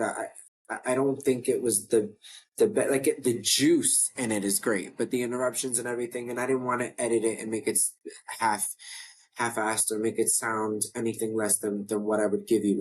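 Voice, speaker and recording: A man from New York with a deep voice, hosting his own online show in a casual, rambling talk to camera that often restarts mid-sentence. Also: level tone, normal speed, slight background noise, low-quality recording. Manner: chatty, rambling, self-interrupting. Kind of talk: casual talking-to-camera update.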